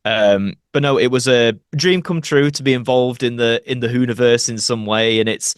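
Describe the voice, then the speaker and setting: A man's voice: medium pitch, moderate pace, smooth, no echo, studio quality. A man with a Yorkshire accent, telling rambling, colloquial anecdotes as an actor being interviewed. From Doncaster, Yorkshire, England. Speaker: actor, podcast guest. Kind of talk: casual interview storytelling.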